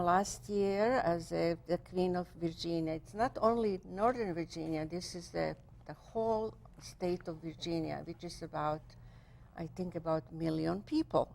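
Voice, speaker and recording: Gravelly-voiced older woman hosting a chat show. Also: lowish voice, warm tone. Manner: halting, many fillers and restarts, non-native phrasing. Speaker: TV show host. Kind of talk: interview on a cable fashion show.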